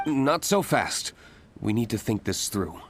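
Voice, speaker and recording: An actor playing a cautious, pensive young man in a video game, deliberate and reserved. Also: fairly low pitch, big pitch swings, soft background music. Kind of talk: scripted video game voice acting.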